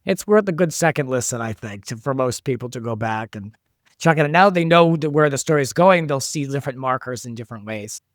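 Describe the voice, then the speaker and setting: A man speaks casually as a creator being interviewed about his production work. His pitch is middling. The recording is close and dry, with little room sound. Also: expressive, brisk, dry recording. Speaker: audio drama creator, interview guest.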